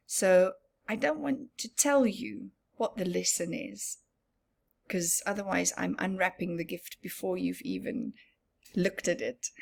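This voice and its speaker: A woman reading a story aloud to children as a teacher would, expressive and deliberate. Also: medium pitch, gravelly. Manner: expressive, deliberate, questioning the listeners.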